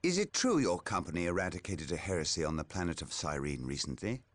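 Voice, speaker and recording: A man's voice: deep voice, very animated, quick pace, no echo. A man playing a proud but deferential colonel of a futuristic army in a video game, speaking in a formal, earnest, soldierly way. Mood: uneasy, probing. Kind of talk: dramatic video game acting.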